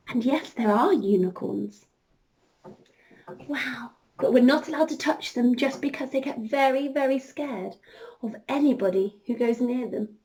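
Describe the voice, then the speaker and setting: Female children's entertainer, high register, slow delivery, expressive interactive storytelling with encouraging prompts to kids. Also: a little hiss or hum, rough recording.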